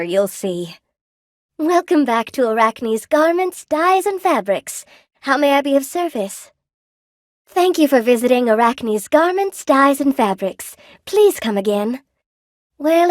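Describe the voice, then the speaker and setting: An actress voicing a gentle, lonely spider-woman shopkeeper in a video game, polite and sweetly attentive, with old-fashioned genteel phrasing. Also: on the high side, no room sound, studio quality, natural voice. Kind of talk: video game character voice acting.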